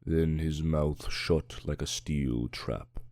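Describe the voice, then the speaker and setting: Very deep, mellow male narrator. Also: steady tone, slow pace, dry recording, studio quality. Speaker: audiobook narrator. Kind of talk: scripted dramatic narration.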